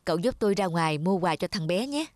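A woman's voice: on the low side, animated, fast talker, a little mellow, dry recording, clean studio sound, close to an everyday voice. A woman playing a brusque busy dad. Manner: curt, impatient, bossy. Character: busy businessman father, brusque. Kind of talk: cartoon voice acting. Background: music.

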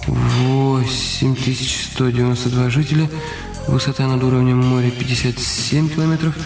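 Male actor as anxious scheming husband, halting inner monologue, radio play, echoey distant sound, music behind. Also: mid-range voice, flat delivery, gravelly, natural voice. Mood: brooding. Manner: brooding, talking to himself in halting phrases. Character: anxious, calculating husband brooding over his injured wife.

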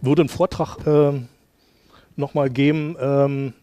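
A man's voice: mid-range voice, steady tone, measured pace, dark tone. A middle-aged man from Brandenburg giving a casual conference talk in a lecture hall, chatty and rambling, with many fillers and restarts. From Brandenburg, Germany. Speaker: conference speaker. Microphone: headset mic.

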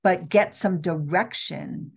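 Slow, gravelly middle-aged woman. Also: lowish voice. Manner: earnest, slow and deliberate with many restarts. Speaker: trade show CEO, guest. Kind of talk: video call interview. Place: office with windows. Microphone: video call webcam.

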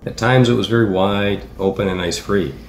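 A man reading a measured, explanatory voice-over for a sailing travel vlog. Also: on the low side, a little hiss or hum. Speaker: sailor narrating travel vlog. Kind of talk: scripted voice-over narration. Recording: roomy echo, music underneath. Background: background music.

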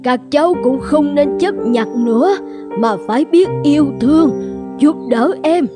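A woman's voice: sits high, a little slow. A woman playing an old grandmother cat in a cartoon, stern but caring, admonishing like an elder. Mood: gently admonishing. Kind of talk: animated fairy-tale dubbing. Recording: music playing behind the voice.

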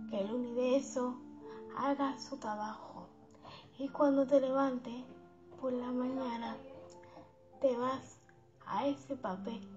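A woman hosting a guided meditation for sleep, speaking in a soothing, unhurried, affectionate manner. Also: high voice, a little mellow. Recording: dull lo-fi sound under background music.

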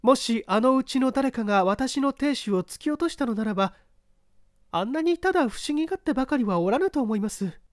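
Mystery audio story: uneasy widow played by a woman, highish voice, polite formal testimony, dry sound. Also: expressive, no room sound. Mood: puzzled, earnest. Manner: polite, measured, formal answers. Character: uneasy widow testifying before an examining judge. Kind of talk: dramatised mystery story reading.

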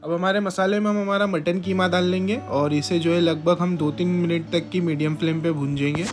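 Chatty young man with a higher voice. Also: fairly even intonation, normal speed. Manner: chatty, friendly, step-by-step explaining with frequent fillers. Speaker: cooking channel host. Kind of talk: voice-over recipe narration. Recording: soft guitar music behind.

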